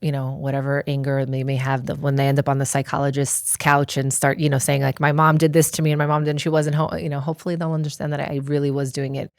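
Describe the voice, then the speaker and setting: Middle-aged American woman, deep voice, dry close sound; earnest interview guest who explains things carefully. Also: little pitch movement, fast talker, few pauses, no echo. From United States. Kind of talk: reflective personal interview.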